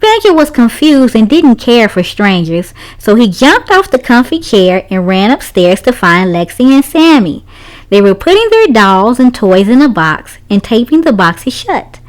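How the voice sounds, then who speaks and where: A woman's voice: a little high, very animated, a little slow, poor audio. A woman reading a children's story aloud, narrating expressively for young listeners.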